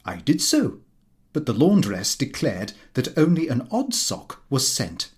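A man's voice: mid-range voice, wildly swooping pitch, a little slow. A British man reading a comic diary as an audiobook narrator, in character with a fussy, indignant delivery.

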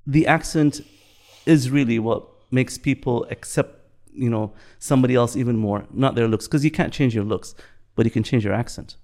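A man in his fifties from Bahrain, chatting in a relaxed podcast conversation, reflective, often repeating and restarting his words. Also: medium pitch, moderate pace. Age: 50s. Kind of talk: casual podcast chat.

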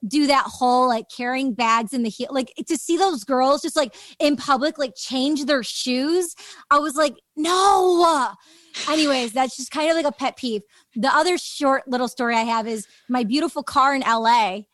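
A woman's voice: sits high, normal speed, a bit bright, no room sound. A woman hosting a podcast in casual conversation, chatty and peppering her speech with "like". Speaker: podcast host.

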